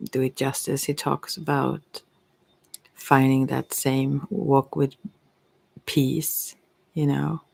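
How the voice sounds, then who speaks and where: A middle-aged woman with a Swedish accent, speaking softly and intimately in an unscripted, reflective ASMR monologue that rambles and trails off. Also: extremely low pitch, gravelly, slightly rough, no room sound, poor audio. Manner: rambling, trailing off mid-thought. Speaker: ASMR creator and tarot reader.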